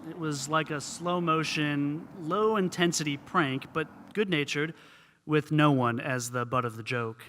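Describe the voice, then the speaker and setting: A man's voice: on the high side, measured pace, fairly bright tone, slight background noise. A man reading scripted narration as a radio reporter.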